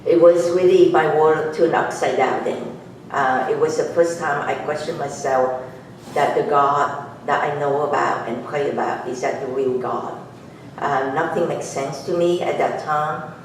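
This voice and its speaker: A woman in her sixties with a Vietnamese accent speaks earnestly and haltingly, often pausing and restarting. She is picked up from across a very echoey meeting room by a distant mic. A faint hiss of noise sits underneath. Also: deep voice, steady tone. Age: 60s. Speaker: guest speaker and author. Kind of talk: personal testimony talk to a club audience.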